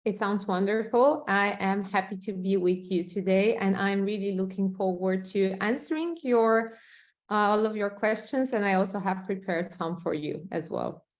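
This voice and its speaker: A woman's voice: medium pitch, moderate pace, a little mellow. A woman in her thirties from Bulgaria, speaking with a Bulgarian accent as she gives answers as an interview guest. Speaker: interviewee, product manager. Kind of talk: interview answers about career.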